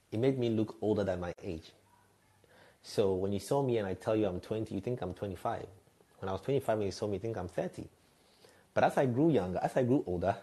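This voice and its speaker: Man, 30s, low warm voice; reflective, frank guest telling stories. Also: breathy edge. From Ghana. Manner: reflective, frank, laughs lightly at himself. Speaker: podcast guest, radio and TV presenter. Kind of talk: candid conversational storytelling.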